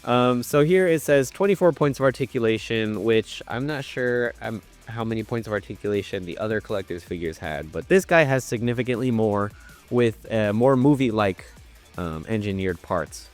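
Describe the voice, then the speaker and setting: A man's voice: lowish voice, lively intonation, normal speed, soft background music. A young man with a stuffy nose chatting casually through a toy unboxing, full of ums and uhs. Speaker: toy reviewer.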